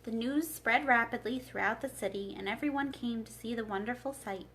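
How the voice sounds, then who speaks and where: A woman reading a children's storybook aloud as a librarian, in an expressive narrating style. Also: on the high side, fairly quick, slight background noise.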